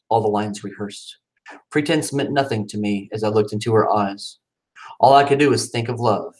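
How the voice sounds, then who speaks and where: A man at home chatting casually about his cat, then reading his own poems aloud with swooping, dramatic delivery. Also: low-quality recording. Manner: casual aside then dramatic poetry reading with pitch swings. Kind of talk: casual chat and poetry reading.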